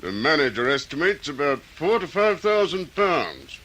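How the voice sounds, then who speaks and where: A man's voice: fairly high pitch, sing-song, normal speed. A man playing a practical, plain-spoken fire officer in an old radio drama. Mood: matter-of-fact. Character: practical, commanding male district fire officer. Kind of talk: 1940s radio drama acting. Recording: vintage radio, loud sound-effect noise behind.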